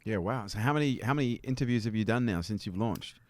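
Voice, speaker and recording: A man's voice: on the low side, fast talker, no echo. A man hosting a podcast, asking questions with short encouraging interjections. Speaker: podcast host.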